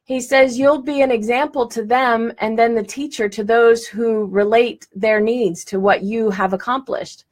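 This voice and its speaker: A woman's voice: a little high, steady tone, unhurried, few pauses. Direct, upbeat woman teaching live. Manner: direct, enthusiastic, with laughs. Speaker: law of attraction teacher.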